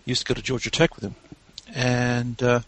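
English guy, guest storyteller, wry and relaxed, lots of ums. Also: medium pitch, fairly quick, low-quality recording. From England. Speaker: guest author. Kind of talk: casual interview chat.

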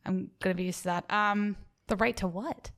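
Eager young Southern-raised woman, earnest and self-deprecating, with vocal fry. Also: mid-range voice, fairly even intonation, normal speed. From American South. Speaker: co-host applicant. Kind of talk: comedic interview banter. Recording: echoey unfinished studio.

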